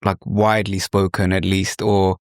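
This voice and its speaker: Male podcast host, lower voice, relaxed interviewer, dry sound. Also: moderate pace, dry recording. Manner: easygoing, short backchannels. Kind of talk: casual interview questions.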